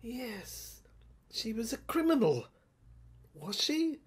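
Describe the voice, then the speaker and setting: A woman's voice: fairly high pitch, sing-song, takes it slowly, slightly rough, heavily acted. A woman performing a haunted, agitated narrator in an audio drama monologue, stammering and repeating words. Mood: entranced, haunted. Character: haunted, agitated narrator recalling an uncanny asylum.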